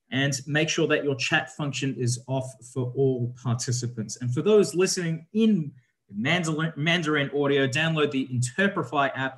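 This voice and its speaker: A young man hosting an online event as an educator, upbeat and clear. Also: on the high side, a bit bright. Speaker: educator and host. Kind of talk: webinar hosting. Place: room on video call. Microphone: video call audio.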